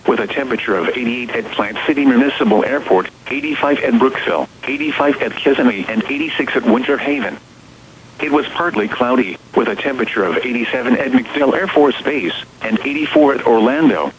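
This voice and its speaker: Over a muffled radio signal with faint noise comes a synthetic American man's voice at a middling pitch. He works as an automated weather announcer, reading scripted bulletins in short, formal segments. The delivery is measured and impersonal. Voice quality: synthetic text-to-speech voice. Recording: dull, noisy weather radio broadcast.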